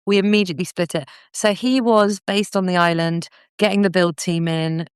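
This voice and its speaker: A woman's voice: fairly low pitch, steady tone, moderate pace, clean tone, no echo, studio quality. A British woman telling her story casually as a podcast guest. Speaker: podcast guest, business owner.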